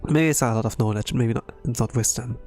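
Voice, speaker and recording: A man streaming a casual blind readalong of a game story, thinking aloud and haltingly as he comments. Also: medium pitch, a little mellow. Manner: halting, thinking aloud between read lines. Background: music.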